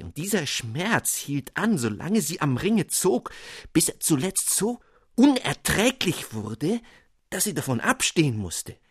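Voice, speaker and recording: A man narrating a fairy tale in an expressive radio reading. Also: mid-range voice, breathy edge, dry recording, clean studio sound. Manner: expressive storytelling.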